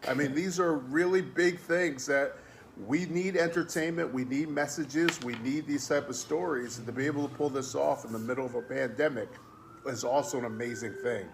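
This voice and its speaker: A man's voice: medium pitch, normal speed, creaky, slight background noise. A man hosting an online interview, speaking enthusiastically and praising the guest's work. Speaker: interviewer host.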